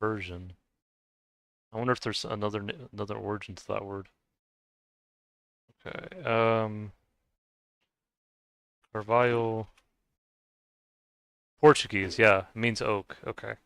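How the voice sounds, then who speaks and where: A man's voice: lowish voice, steady tone, measured pace, long pauses, fairly bright tone, clean tone, no echo. A man streaming a game, chatting casually with viewers and stumbling over how to say names. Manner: hesitant, stumbling over name pronunciations, chuckling. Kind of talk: casual live-stream chat. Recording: dry close mic with faint music behind.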